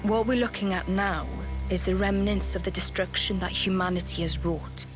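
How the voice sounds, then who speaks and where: A woman acting a wise female leader in a video game, speaking at a middle pitch. She is grave and measured, explaining like someone who carries old history. Clear music plays behind her. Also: close to an everyday voice. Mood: grave, solemn. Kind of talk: scripted video game cutscene dialogue.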